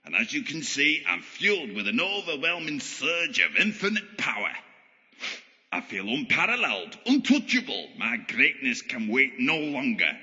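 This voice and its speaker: An actor playing a deranged, gloating Yorkshire villain who believes himself a god, sneering in mock-genteel, foul-mouthed fashion in an audio drama. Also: male voice, sing-song, moderate pace, bright, forceful tone. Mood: manic, grandiose. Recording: muffled, long booming hall reverb.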